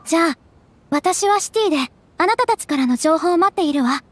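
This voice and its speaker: An actress voicing a gentle, caring young noblewoman in a game, speaking in a soft, polite, refined way. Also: extremely high pitch, lively intonation, a little fast. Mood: concerned.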